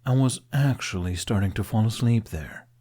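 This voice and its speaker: A sleepy dragon acted out by a male narrator grumbles in a lowish voice. He sounds like a drowsy, complaining companion in a fantasy audiobook. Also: moderate pace, dark tone, natural voice. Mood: sleepy, grumbling. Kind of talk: audiobook character dialogue.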